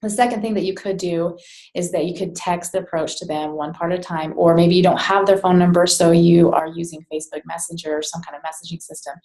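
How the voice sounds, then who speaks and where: Female trainer, slightly low voice, conversational and direct, instructional delivery in an echoey room. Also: steady tone, quick pace, darkish tone. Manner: conversational, direct address with rhetorical check-ins. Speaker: sales trainer. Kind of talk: informal instructional presentation. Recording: roomy, echoey sound.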